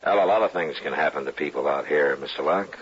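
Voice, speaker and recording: An actor playing a weary, dry-humored US marshal in a 1950s radio western, speaking curtly and laconically. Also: male voice, a bass voice, fast, husky, full character voice. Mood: dry, guarded. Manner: laconic, dry, patient but curt. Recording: muffled vintage radio recording.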